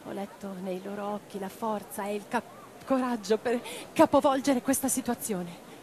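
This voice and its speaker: A woman's voice: medium pitch, fairly even intonation, moderate pace, darkish tone, close to an everyday voice. An actress playing an impassioned revolutionary woman in a radio play, declaiming with theatrical intensity. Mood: impassioned, defiant. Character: impassioned revolutionary woman orator and letter-writer. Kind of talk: theatrical dramatic monologue. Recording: guitar music behind the voice. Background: plucked guitar music.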